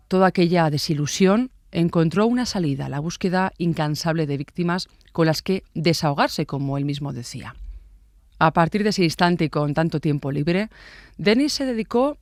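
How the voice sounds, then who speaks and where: Casting: Spanish man, podcast host, slightly high voice, dry studio sound, chatty scripted narration. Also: no echo. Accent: Spain Spanish. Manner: conversational, with rhetorical asides. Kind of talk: scripted true-crime narration.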